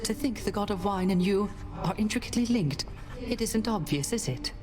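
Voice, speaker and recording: A man's voice: high voice, animated, normal speed, over music. A man voicing a dreamy, credulous bard in a video game, speaking with florid, reverent wonder. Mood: amazed. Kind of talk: video game character acting.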